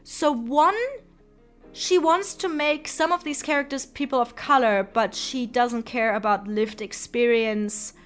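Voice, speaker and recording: High-voiced woman ranting casually over music. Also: a little slow, a bit bright. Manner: informal, emphatic, sweary. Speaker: video essayist. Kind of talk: opinionated casual video commentary.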